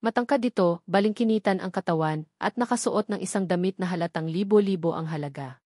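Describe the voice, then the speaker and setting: A woman narrating a romantic audio drama in a measured storytelling manner. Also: lowish voice, dark tone, dry recording, clean studio sound.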